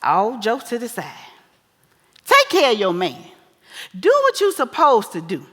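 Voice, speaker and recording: A woman's voice: fairly high pitch, sing-song, a bit bright, exaggerated voice. A woman on stage playing a bawdy, boisterous older mother who schools her grown daughter, sassy and preachy in a big theater hall. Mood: emphatic, teasing. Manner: sassy, preachy, exclamatory, drawn-out emphasis. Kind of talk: broad comedic stage play acting. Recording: echoey theater hall with long reverb.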